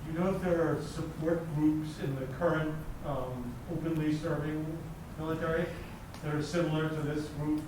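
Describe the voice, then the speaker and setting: A man's voice: a little high, steady tone, moderate pace. A man in an audience casually asking questions, far from the microphone. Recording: very echoey, distant, noisy room recording.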